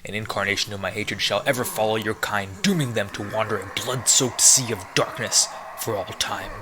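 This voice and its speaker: A man voicing a vengeful demon king from a video game, reading grand curses in a solemn, measured way. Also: lowish voice, background noise, close to an everyday voice. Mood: solemn, menacing.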